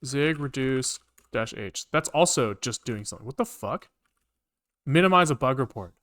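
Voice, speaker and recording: A man's voice: medium pitch, lively intonation, normal speed, fairly bright tone, dry recording, studio quality. A man livestreaming some programming, casually thinking aloud, muttering to himself, repeating phrases and swearing now and then. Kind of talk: casual live coding commentary, thinking aloud. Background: computer keyboard typing and clicking.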